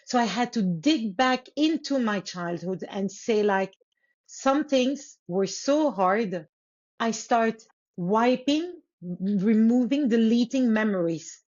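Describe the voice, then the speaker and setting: A woman from Congo whose first language is Lingala speaks as an interview guest, earnest and unhurried, pausing and restarting as she thinks. Her voice sits at an ordinary middle pitch for a woman. The audio is slightly dull and muffled. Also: a little mellow. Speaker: guest author. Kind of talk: reflective interview storytelling.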